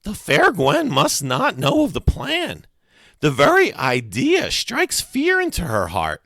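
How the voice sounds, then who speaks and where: A man's voice: on the high side, wildly swooping pitch, slow, creaky, bright, forceful tone, dry recording, clean studio sound, pitched above the performer's own voice, full character voice. A man voicing a small, brainy, sickly boy who talks in a pompous, precocious way for a story. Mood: bossy, grandiose. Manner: pompous, precocious, grandly dramatic.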